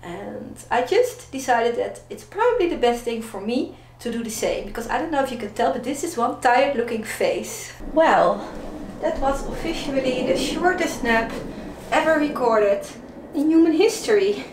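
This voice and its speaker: A woman's voice: a little high, expressive, normal speed. A young Dutch woman with a Dutch accent, chatting playfully and self-mockingly in a casual home vlog. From Netherlands. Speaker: vlogger. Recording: echoey room, faint background noise.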